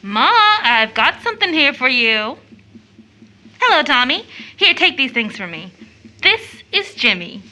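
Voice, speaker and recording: A woman's voice: high-pitched, very animated, unhurried, bright, forceful tone, full character voice. A woman acting a sweet, spirited, devoted young daughter in an audio drama, girlish and affectionate. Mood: bright, affectionate.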